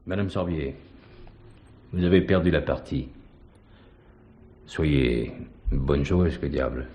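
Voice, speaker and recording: A man playing a shrewd, assured police commissioner in a theatrical mystery, measured and ironically courteous. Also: very deep voice, warm tone, a little hiss or hum. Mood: firm, commanding. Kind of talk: French stage mystery acting.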